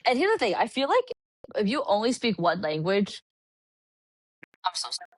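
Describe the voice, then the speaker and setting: A woman from California, a podcast guest, telling travel stories in an animated, casual way with lots of "like". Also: mid-range voice, normal speed, a little hiss or hum. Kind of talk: casual chat. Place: Puerto Rico.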